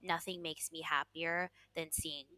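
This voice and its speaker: A woman's voice: low-pitched, steady tone, a little slow, bright, forceful tone, no echo. A young adult woman, a coach, answering interview questions at length in a confident, self-assured way. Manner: confident, self-assured, talks in long flowing runs with "you know". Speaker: coach, podcast guest.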